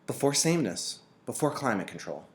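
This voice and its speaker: A man voicing an earnest boy, pitched up. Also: very animated, brisk, lightly acted. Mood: eager. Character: earnest, polite young boy, eager and brave.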